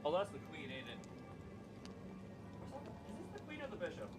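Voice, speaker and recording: A man's voice: a little high, wildly swooping pitch, moderate pace, over loud music. A man giving casual live game commentary, thinking aloud with playful, quirky turns of phrase. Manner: playful, quirky word choices. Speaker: video game streamer.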